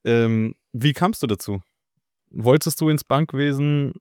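A man's voice: mid-range voice, unhurried, a little mellow, clear, resonant tone, no echo, clean studio sound. A man hosting a podcast interview, asking friendly follow-up questions in a casual way. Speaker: podcast host.

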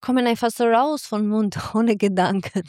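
A woman's voice: fairly high pitch, moderate pace, a little mellow, dry recording, clean studio sound. A woman from Albania, a midwife whose first language is Albanian, answering interview questions cheerfully in German with many restarts and laughs. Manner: cheerful, laughs often, non-native German with frequent restarts.

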